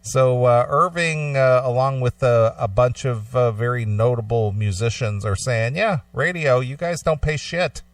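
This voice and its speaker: Male talk radio host, blunt and conversational, mid-pitched, dry sound. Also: a little slow, hardly any pauses, dry recording. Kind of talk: casual radio talk, reading an article aloud. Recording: slightly distant microphone, dry sound.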